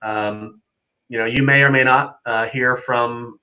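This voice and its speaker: A man's voice: medium pitch, fairly even intonation, measured pace. Man talking casually, slightly dull stream audio. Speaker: air traffic controller, live stream guest. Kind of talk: casual unscripted explanation with anecdotes.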